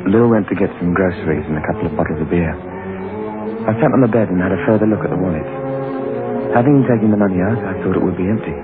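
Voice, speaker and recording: A man's voice: low voice, quick pace, close to an everyday voice. An actor playing a desperate, poor unemployed British man, telling his story dramatically in an old-time radio drama. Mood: brooding. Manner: dramatic first-person storytelling, colloquial. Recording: tinny old radio broadcast with music.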